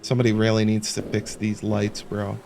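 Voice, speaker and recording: A man's voice: on the low side, completely flat delivery, fairly quick, a little mellow, slight background noise. A man giving casual let's play commentary on a horror game, sarcastic and jokey as he mutters to himself. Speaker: gaming content creator. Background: horror game sounds of crickets and insects.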